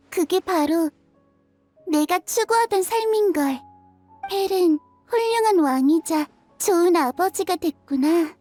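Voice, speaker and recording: A gentle young girl, wistful and playful, with a very high, bright tone. The sound is close and dry, with faint music under it. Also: dry recording. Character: gentle, dreamy young girl longing for the world. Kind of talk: game cutscene dub.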